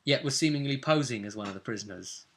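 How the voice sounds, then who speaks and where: A man with a bright, forward voice works as game master. He recaps a tabletop role-play adventure conversationally, like a storyteller who slips into quoted dialogue. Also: medium pitch, fast talker, a little hiss or hum.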